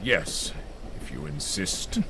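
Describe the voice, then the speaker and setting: A man's voice: slow pace, sharp tone, a little hiss or hum. An actor playing a pompous, frightened, stammering man who bosses people about in an audio drama. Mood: reluctant, subdued. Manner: stammering, indignant. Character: flustered, bossy, frightened man, pompous and condescending.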